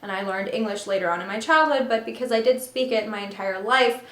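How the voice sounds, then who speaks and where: Young woman, russian and ukrainian her first languages, sarcastic vlog chat, bit high voice, echoey room at home. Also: fairly quick. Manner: sarcastic, animated, mocking asides. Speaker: artist and vlogger. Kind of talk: casual vlog, reading emails aloud.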